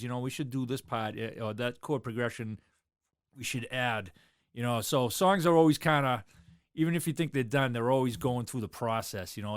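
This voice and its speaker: A man's voice: medium pitch, smooth, no room sound, studio quality. A man, a drummer talking loosely in an interview, rambling with lots of fillers. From South Boston, USA. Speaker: drummer and guest. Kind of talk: casual interview chat.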